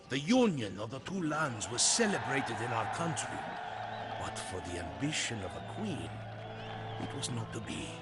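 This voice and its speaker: A loyal old-world soldier telling his captain's story for a game cutscene. His delivery is solemn and theatrical, with dramatic swells and drops in a mid-pitched male voice. Loud music plays underneath. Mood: grave, dramatic.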